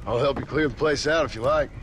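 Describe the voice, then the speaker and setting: An actor playing a rugged cowboy gunslinger with an American accent, deadpan and dryly ironic, in a video game cutscene. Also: male voice, mid-range voice, fast talker, noisy background, lightly acted. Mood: dry concern. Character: rugged, sardonic cowboy gunslinger, courteous but dry.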